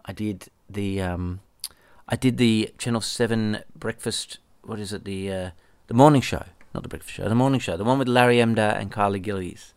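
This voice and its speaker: A man's voice: lowish voice, moderate pace, a little mellow, smooth, no echo. An Australian man from Perth hosting his own podcast, chatting casually and rambling, often correcting himself and swearing now and then. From Perth, Western Australia. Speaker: podcast host and musician. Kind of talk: casual solo podcast chat.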